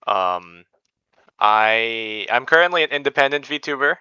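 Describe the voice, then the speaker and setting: Clear, bright young man, animated intonation. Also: mid-range voice, measured pace, no echo, muffled recording. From Indonesia. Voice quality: clear, smooth. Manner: hesitant, modest. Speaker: interview guest, streamer. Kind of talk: casual chat.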